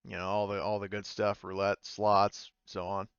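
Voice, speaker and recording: A man's voice: fairly low pitch, monotone, normal speed, fairly bright tone, clear, resonant tone, dry recording, highs cut off. A man narrating an improvised tabletop game as game master, tentative and often thinking aloud. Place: indoors, online voice call.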